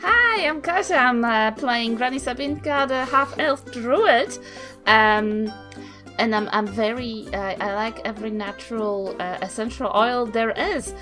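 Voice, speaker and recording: A woman's voice: on the high side, unhurried, over music. A woman chatting casually and playfully, a little hesitantly, as a player on a role-playing show. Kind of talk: casual chat.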